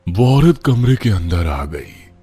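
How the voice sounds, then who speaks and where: A man's voice: low voice, very animated, takes it slowly, dark tone, husky, soft background music. A man narrating a scripted horror story in a dramatic, suspenseful way.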